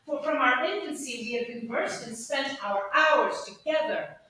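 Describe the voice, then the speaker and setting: A woman in a staged Shakespeare reading playing a witty, lovestruck young gentleman in a theatrical, courtly manner. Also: sits high, moderate pace. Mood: earnest. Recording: distant, very echoey room, faint noise.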